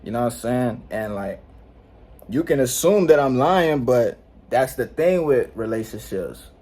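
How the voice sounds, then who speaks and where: A man's voice: mid-range voice, expressive, a little slow, darkish tone. Laid-back teen guy vlogging. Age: late teens. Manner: slangy, rambling, laid-back, frequent "you know what I'm saying". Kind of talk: unscripted casual vlog talk. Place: indoors at home.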